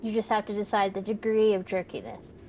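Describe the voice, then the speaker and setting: A woman's voice: mid-range voice, flat delivery, a little fast, a loud crowd. A woman co-hosting a game stream, talking casually over voice chat. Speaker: co-host, fellow gamer. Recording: dull lo-fi voice chat with noise.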